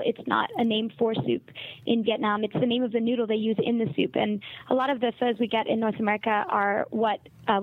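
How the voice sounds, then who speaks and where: A woman's voice: fairly high pitch, no echo. Canadian woman on a tinny phone line. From Montreal, Canada. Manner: articulate, reflective, measured. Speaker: guest, travel writer. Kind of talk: podcast interview.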